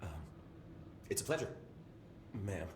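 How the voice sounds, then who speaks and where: An actor playing a harried, chatty security chief in a video game, with an American accent and an informal, rambling, jokey manner. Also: male voice, a little slow, lightly acted. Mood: flustered, friendly. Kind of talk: video game cutscene acting. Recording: roomy echo, clear background noise.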